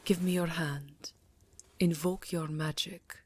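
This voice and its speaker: Low, slow-spoken vampire countess, played by a woman. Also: fairly even intonation, darkish tone, full character voice. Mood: grave, pleading. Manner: formal, theatrical, breathy murmuring. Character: elegant, affectionate vampire countess, seductive yet guarded. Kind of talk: roleplay ASMR acting, intimate address to listener.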